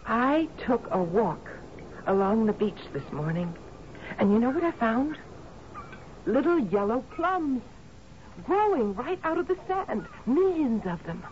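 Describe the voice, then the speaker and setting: An actress in a radio drama playing a cheerful, bossy woman in her twenties keeping house on an island, brisk and coaxing. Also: on the high side, sing-song. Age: 20s. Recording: dull, noisy 1970s radio recording.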